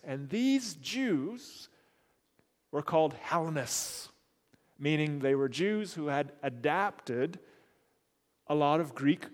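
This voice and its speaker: A man's voice: on the high side, long reverb tail. A man giving an unscripted Bible lesson, explaining patiently and repeating phrases for emphasis, with occasional fillers. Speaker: Bible teacher.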